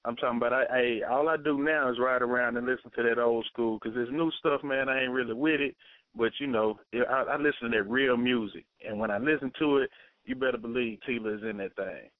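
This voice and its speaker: A man's voice: mid-range voice, brisk, no room sound. A man calling in to a radio show as a fan, chatting casually and admiringly in slang over the phone. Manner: slangy, admiring, repeats himself. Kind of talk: casual chat. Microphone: phone call. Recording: dry, tinny phone line.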